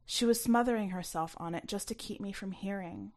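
A woman's voice: medium pitch, fairly quick. Woman narrating a first-person story expressively. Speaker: story reader.

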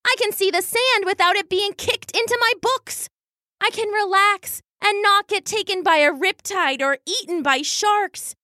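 A woman's voice: very high-pitched, a little slow, sharp tone, dry recording, studio quality, pitched above the performer's own voice, exaggerated voice. A woman voicing an anxious, stubborn young female weasel in a children's story, dramatic and protesting. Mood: defensive, upset.

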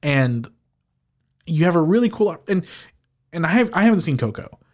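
A man co-hosting a podcast, chatting casually about a movie, animated and often restarting his sentences with a laugh. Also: fairly high pitch, normal speed. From Filipino heritage, living in the United States. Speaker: podcast co-host. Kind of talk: casual movie review chat.

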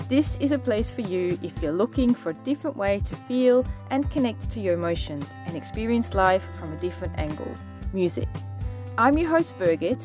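A woman hosting a podcast, introducing her show and guest with enthusiasm and frequent ums. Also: medium pitch. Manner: warm, enthusiastic, with many ums. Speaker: podcast host.

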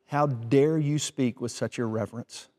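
A man's voice: mid-range voice, a little slow, a little mellow, close to an everyday voice. A man voicing a stubborn, guilt-ridden younger brother in a parable, earnest and self-reproaching. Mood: indignant, guilty. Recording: long hall reverb.

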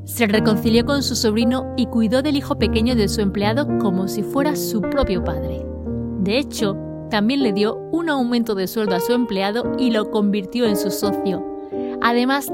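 A woman reading scripted storybook narration as the narrator of an audio drama. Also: fairly high pitch.

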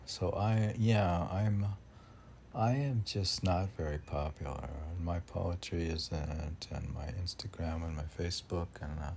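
A man with a gravelly, husky voice reading his own poems, with halting, self-deprecating commentary in which he talks back to himself. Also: low-pitched, darkish tone, slight background noise. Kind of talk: poem reading mixed with rambling self-commentary.

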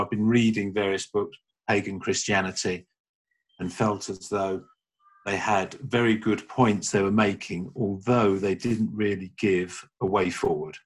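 A middle-aged man from England speaking as a guest on a video call, measured and soft-spoken, often trailing off. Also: on the low side, breathy edge. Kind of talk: casual conversation.

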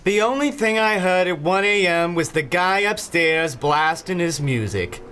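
A man's voice: sits high, lively intonation, measured pace, sharp tone, clean tone, full character voice. An actor playing a cranky, reclusive male tenant in an audio drama, curt, callous and suspicious. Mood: surly. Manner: curt, surly, irritable.

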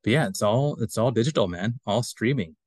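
A man's voice: fairly low pitch, lively intonation, a little fast, darkish tone, husky, dry recording, clean studio sound. A man in his forties co-hosting a podcast, talking in a laid-back, colloquial way. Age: 40s. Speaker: podcast co-host. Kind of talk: casual chat about pop culture.

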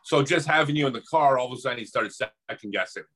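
A man's voice: mid-range voice, fast talker, a bit bright, breathy edge. A man hosting a casual interview who asks short clarifying questions. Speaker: host.

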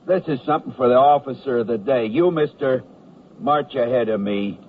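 An actor playing a stern, officious army corporal of the guard, curt and commanding, in old-time radio comedy. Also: male voice, mid-range voice, normal speed, close to an everyday voice. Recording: tinny, slightly noisy vintage radio recording.

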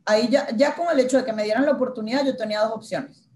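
A woman who works as a TV news reporter tells her story in a conversational interview, acting out the dialogue with lively energy. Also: on the high side, fast talker. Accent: Spanish-speaker's accent in English.

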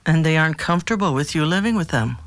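Calm, probing therapist, played by an actor. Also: medium pitch, no echo. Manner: measured, gently questioning. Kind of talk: audio drama acting.

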